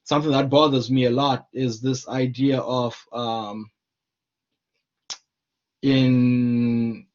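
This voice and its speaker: Earnest scholar, slightly muffled. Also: male voice, mid-range voice, little pitch movement, slow, darkish tone. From Zimbabwe. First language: Shona. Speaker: scholar and lecturer. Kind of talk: conversational discussion.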